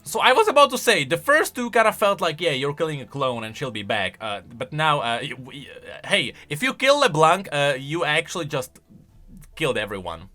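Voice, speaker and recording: A man's voice: a little high, sing-song, normal speed, pushed and bright, faint music behind. A man giving casual, conversational reaction commentary on video game lore, musing aloud as he goes.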